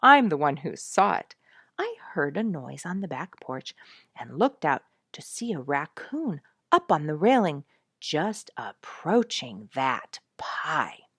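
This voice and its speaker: A woman's voice: sits low, sing-song, slow, a bit bright, breathy edge, no room sound, studio quality, heavily acted. A woman playing a good-humoured, indignant father in a storybook, telling things with mock-indignant emphasis. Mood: animated recounting. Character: pie-loving father, indignant, good-humoured adult man.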